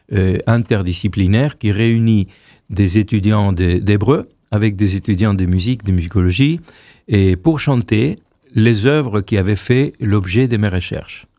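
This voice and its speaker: A man in his sixties from Argentina, whose first language is Hebrew, telling stories slowly as a choir director being interviewed, often restarting his phrases. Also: fairly low pitch, dark tone, no room sound. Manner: unhurried storytelling, repeats and restarts phrases. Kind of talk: radio interview.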